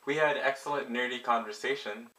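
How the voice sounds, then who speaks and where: A man's voice: mid-range voice, steady tone, brisk, pushed and bright, rough recording. A man narrating a vlog and telling stories to his viewers. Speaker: vlogger.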